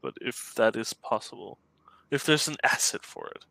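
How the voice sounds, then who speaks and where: A man's voice: medium pitch, sing-song, moderate pace, creaky, bright, forceful tone, dry recording, low-quality recording. A man with a Danish accent chatting over a call, hesitant and giggly, often restarting his sentences. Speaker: client commissioning a map. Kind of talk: casual chat.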